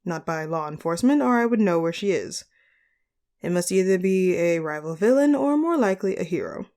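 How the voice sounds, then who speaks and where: A woman playing a polite, composed supervillain boss in a scripted audio roleplay, speaking to the listener in formal, measured phrases. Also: lowish voice, animated, warm tone. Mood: thoughtful, concerned. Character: polite, charismatic female supervillain sorceress boss, caring and composed.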